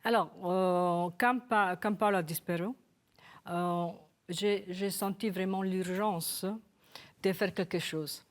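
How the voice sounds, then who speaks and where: An Italian woman from Rome, an author being interviewed on television, speaking French with a Roman accent and pausing often to search for her words. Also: fairly low pitch, fairly even intonation. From Italy. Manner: hesitant, searching for words, halting restarts. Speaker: author guest. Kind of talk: television interview with a read passage. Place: television studio.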